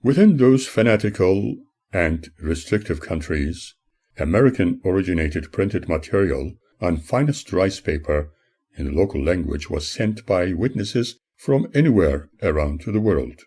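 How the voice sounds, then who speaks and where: Deep-voiced older man reading his memoir. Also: expressive, unhurried, darkish tone, husky. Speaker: author reading own memoir. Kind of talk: scripted audiobook narration.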